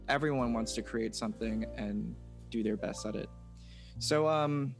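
A young man hosting an interview, friendly and encouraging, with many fillers as he asks questions. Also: medium pitch. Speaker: host.

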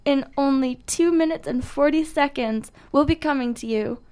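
A woman's voice: high-pitched, moderate pace, dark tone. A woman co-hosting a radio show in casual, unscripted chat, with a giggly, joking manner. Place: radio studio.

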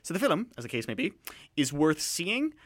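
Rambling male radio host, bright voice. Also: on the high side, sing-song, no room sound, studio quality. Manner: rambling, digressive, halting with repeated false starts. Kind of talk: casual film review chat.

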